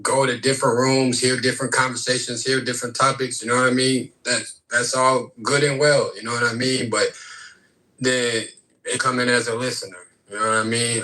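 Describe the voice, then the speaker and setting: Slangy laid-back man with a bright voice. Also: mid-range voice, poor audio. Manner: slangy, laid-back, keeps saying you know what I mean. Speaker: room participant. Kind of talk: casual live audio chat.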